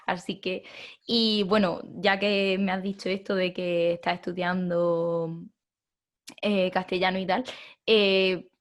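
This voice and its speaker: A woman's voice: lowish voice, level tone, slow. A woman with an Andalusian Spanish accent, asking interview questions with hesitant restarts.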